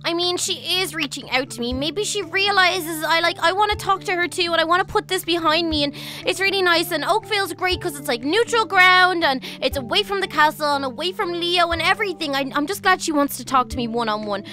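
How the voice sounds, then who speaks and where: A woman's voice: very high voice, fairly quick, pushed and bright. A woman voicing a hot-headed, emotional young woman twin in a roleplay, rambling in a breathless, gushing way. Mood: hopeful.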